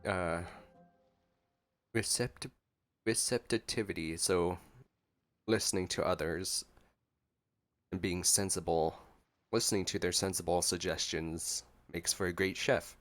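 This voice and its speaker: Low-voiced man casually commenting on a game. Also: steady tone, slow pace, pauses often, a bit bright. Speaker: gaming streamer. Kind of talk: casual gameplay reaction commentary. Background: faint game music.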